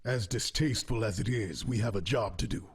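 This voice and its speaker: A man playing a cold, commanding supervillain leader in a superhero audio drama, speaking formally and with menace in a gravelly voice. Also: lowish voice, fairly quick. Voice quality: gravelly, raspy.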